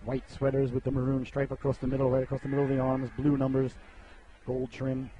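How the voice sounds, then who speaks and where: A man's voice: medium pitch, completely flat delivery, quick pace, mellow, breathy edge, poor audio. A middle-aged man calling an amateur hockey broadcast in a chatty, rambling way, joking with viewers and a bit sniffly. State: sniffly from a cold. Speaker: play-by-play announcer. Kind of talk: casual hockey broadcast commentary.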